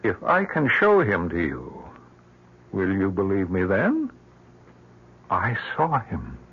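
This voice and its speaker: Earnest frightened husband, husky and animated. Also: male voice, low-pitched, takes it slowly, heavily acted. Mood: anxious, earnest. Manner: urgent, earnest. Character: earnest, frightened, grief-stricken husband, pleading and urgent. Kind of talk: radio drama acting. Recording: dull lo-fi radio recording.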